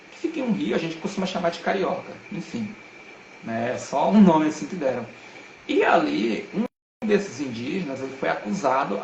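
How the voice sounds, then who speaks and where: Gravelly man. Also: fairly high pitch, slight background noise. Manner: earnest, emphatic, slangy. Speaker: guest speaker. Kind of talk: casual live-stream talk. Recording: roomy echo.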